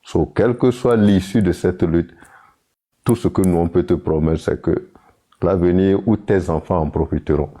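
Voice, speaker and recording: Very deep-voiced Togolese man, mellow. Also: normal speed. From Togo. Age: young adult. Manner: earnest, mixes in English connectors. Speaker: participant and moderator in a live online panel. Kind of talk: casual heartfelt tribute.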